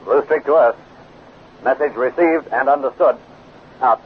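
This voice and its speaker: A man's voice: mid-range voice, a little slow, close to an everyday voice. Tinny, slightly noisy 1950s radio sound with an actor as a spaceship captain. He is firm and level-headed, speaking in businesslike serial-drama style. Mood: matter-of-fact.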